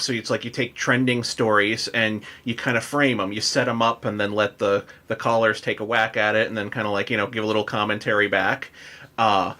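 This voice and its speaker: A man's voice: on the low side, bright, forceful tone. A man hosting a podcast in a casual interview style, chatty and enthusiastic in manner. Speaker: podcast host.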